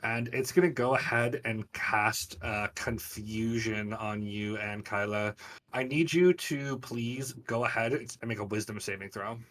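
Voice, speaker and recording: A man's voice: fairly low pitch, unhurried, a bit bright, recorded from a distance. A man acting as game master, casually narrating players' actions and scenes in a tabletop game.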